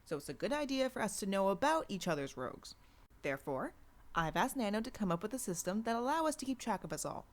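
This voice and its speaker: A woman's voice: medium pitch, very animated, fast talker, voice pushed up, close to an everyday voice. Businesslike female superhero leader read by a woman. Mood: patient. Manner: businesslike, patient. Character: calm, organised female superhero team leader.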